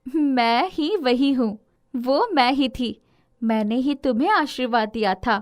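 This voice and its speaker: A woman voicing a kindly, gentle fairy who speaks reassuringly in a children's storybook tale. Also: sits high, unhurried. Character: kindly, gentle female fairy guardian of the forest.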